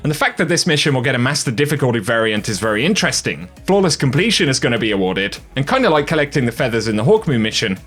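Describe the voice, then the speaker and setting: Male gaming news host: brisk, animated, slightly husky, upbeat, music underneath. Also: mid-range voice. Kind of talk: scripted video game news narration.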